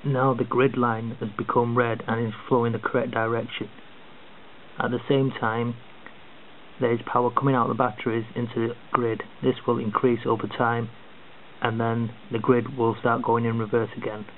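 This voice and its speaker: Flat-voiced man, muffled hissy recording. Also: medium pitch. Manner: deliberate, terse, instructional. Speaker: home automation demonstrator. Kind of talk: demonstration narration and clipped voice commands. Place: indoors at home. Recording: muffled lo-fi with clear hiss.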